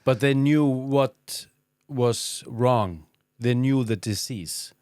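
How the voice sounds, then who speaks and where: Measured male podcast host. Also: mid-range voice, darkish tone, smooth, no room sound, clean studio sound. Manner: measured, with frequent listening murmurs. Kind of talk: interview hosting.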